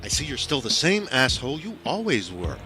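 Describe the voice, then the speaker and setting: A man's voice: medium pitch, very animated, a little fast, pushed and bright. A sour, gray-haired granny, as a male narrator reads her in an audiobook story. The delivery is curt and hostile, with music playing underneath. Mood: bitter, curt.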